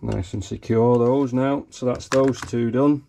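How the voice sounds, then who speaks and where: A man with a slightly croaky voice, casually talking himself through wiring a car in his garage. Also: mid-range voice, steady tone, measured pace, mellow, a little hiss or hum. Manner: talks himself through each step, thinking aloud. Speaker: car hobbyist. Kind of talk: casual workshop commentary. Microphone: camera mic close to his hands.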